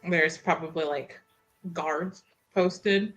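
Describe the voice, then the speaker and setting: A woman improvising casually in a tabletop roleplaying game, thinking aloud in an informal, animated way. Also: sits low, slow pace, dull audio, faint music behind.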